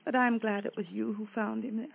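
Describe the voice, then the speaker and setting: A female actor playing a genteel, softly appealing newly widowed American wife in a mid-century radio melodrama, confiding and halting. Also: a little high, flat delivery, vocal fry, slight background noise. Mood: guarded. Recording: tinny, narrow-band old radio recording.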